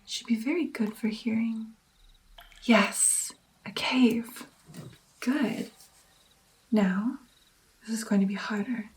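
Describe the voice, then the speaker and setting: A woman playing a doting, playful female vampire mentor in an ASMR roleplay, speaking softly and breathily, often in a whisper, coaxing and encouraging. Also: fairly high pitch, rough recording. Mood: hushed, guiding, then intrigued. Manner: slow, coaxing, encouraging, lingering over phrases. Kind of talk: ASMR roleplay, personal attention.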